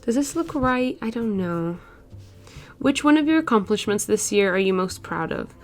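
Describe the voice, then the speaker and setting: A woman's voice: mid-range voice, animated, moderate pace, faint music behind. A young woman in her twenties whose first language is Portuguese, chatting casually over a sketching video with lots of "like" and "uh" fillers. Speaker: art vlogger.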